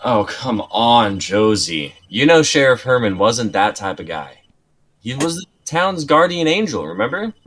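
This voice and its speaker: A man's voice: medium pitch, very animated, unhurried, fairly bright tone, a little hiss or hum. A man playing a skeptical, reluctant sidekick in a dramatic script reading, with a dry, protesting, colloquial delivery. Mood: defensive. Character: skeptical, reluctant male sidekick of amateur sleuth.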